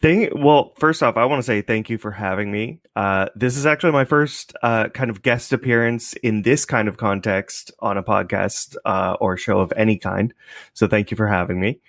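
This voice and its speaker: A man's voice: on the low side, moderate pace, fairly bright tone, dry recording, studio quality. A man from Canada chatting casually as an interview guest, laid-back and reflective. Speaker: podcast creator, interview guest.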